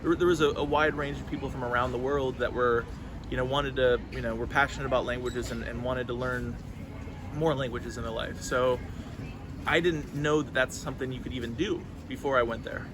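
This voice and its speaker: A man's voice: mid-range voice, fairly even intonation, a little fast. A man in his thirties, a farmer from California, answering interview questions earnestly, with many restarts. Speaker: farmer, guest. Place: outdoors at a farmers market. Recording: outdoor street noise, traffic.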